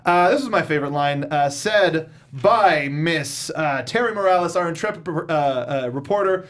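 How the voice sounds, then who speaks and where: A man's voice: a little high, normal speed, fairly bright tone. A man hosting a casual, comedic movie review discussion, talking in a mock-exasperated, sardonic way. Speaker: host of a movie review talk show.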